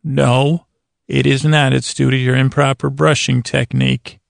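A man acts out a fussy old grandmother for a bedtime story podcast. The voice is very creaky and fairly flat, full of reproving, nagging advice, and recorded close and dry. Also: mid-range voice, a little slow, no echo. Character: scolding, nagging old grandmother obsessed with toothbrushing.

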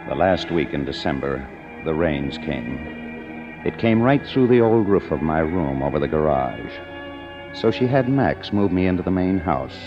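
A man's voice: a bass voice, expressive, a little slow. An actor playing a world-weary, cynical man, wry and hardboiled, in a 1950s radio drama. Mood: dry narration. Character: cynical, world-weary kept man narrating his story. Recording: muffled old radio recording, music behind.

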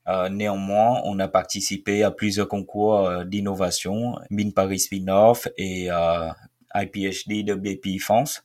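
A man in his twenties with a fairly low voice gives a first-person account of his work. He talks haltingly, filling his pauses often as he explains. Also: fairly even intonation, a little mellow. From Vietnam. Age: 20s. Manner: hesitant, many filled pauses. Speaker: researcher and startup founder.

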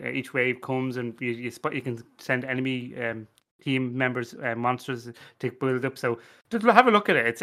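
A man on a podcast panel chatting casually, teasing and halting as he repeats himself. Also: medium pitch, sharp tone. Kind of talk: casual banter.

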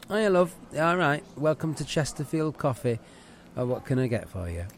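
A man's voice: a little high, big pitch swings, moderate pace, background noise. A man playing a meek, stammering coffee shop worker in an improvised comedy sketch, repetitive and over-apologetic but prone to sweary rage.

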